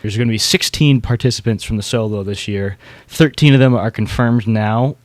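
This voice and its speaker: A man's voice: lowish voice, moderate pace, dry recording. A man hosting a podcast in a casual, chatty style. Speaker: podcast host.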